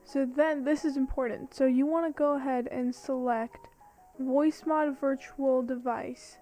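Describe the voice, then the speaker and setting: A young woman with a high voice talks through a software tutorial in an informal, step-by-step way. Music plays behind her as she explains. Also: steady tone, unhurried. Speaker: tutorial host.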